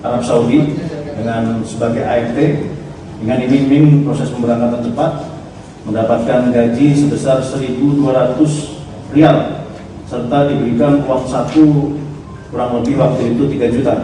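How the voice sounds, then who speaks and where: A man's voice: medium pitch, steady tone, moderate pace. Man speaking at a press conference, echoey hall. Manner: hesitant, formal spoken briefing. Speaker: police official. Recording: echoey hall from far off, noisy.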